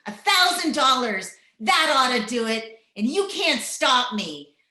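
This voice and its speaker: A woman's voice: high voice, sing-song, unhurried, bright, forceful tone, full character voice. A woman playing a mean, vengeful older rancher's wife in a radio western, with blunt rural speech. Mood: bitter, threatening. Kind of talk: old-time radio western acting. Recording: roomy, echoing home video-call recording.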